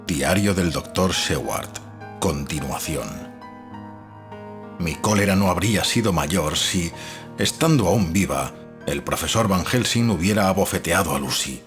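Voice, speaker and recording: A grave, emotional doctor played by a man narrates his diary for a dramatized audiobook. His voice is very low, with music playing faintly beneath it. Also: lively intonation, unhurried. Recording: music under the voice.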